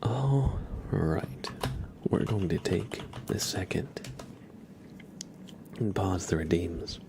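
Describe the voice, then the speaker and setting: A man with a very low, creaky voice hosts a casual ASMR stream. He speaks softly and often drops into a whisper, with clear noise in the recording. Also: animated, drawn-out words. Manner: hushed, often slipping into whispers. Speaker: ASMR livestream host. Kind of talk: casual soft-spoken ASMR stream chat.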